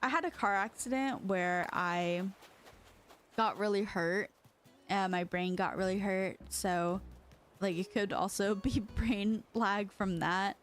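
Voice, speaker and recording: A woman's voice: medium pitch, steady tone, slow, soft background music. A young woman from China whose first language is Chinese, streaming in a rambling, casual chat full of fillers and restarts.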